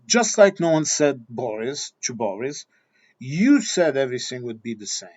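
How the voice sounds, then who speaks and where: A man's voice: medium pitch, very animated, normal speed, darkish tone, slightly rough, no echo, lo-fi sound. A man with a Portuguese accent, Portuguese his first language, delivering a blunt, combative monologue straight at his viewers. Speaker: video commentator. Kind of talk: ranting monologue.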